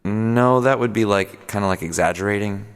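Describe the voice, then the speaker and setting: A man's voice: lowish voice, moderate pace, smooth, in a large hall, natural voice. A man playing an awkward, apologetic podcast interviewer, stammering politely through an improvised comedy radio play. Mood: deadpan, correcting. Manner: hesitant, stammering, politely probing. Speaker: host interviewer.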